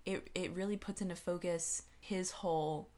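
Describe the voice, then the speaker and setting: A woman's voice: lowish voice, steady tone, moderate pace, a little mellow. A woman co-hosting a podcast, chatting casually about books in an informal way. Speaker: podcast co-host. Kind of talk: casual book discussion.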